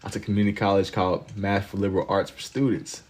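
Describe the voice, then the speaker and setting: Deep-voiced young man chatting on a livestream. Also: level tone, quick pace. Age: 20s. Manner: laid-back slang, laughs and gets loud when teased. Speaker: livestream host. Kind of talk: casual chat with viewers while drawing.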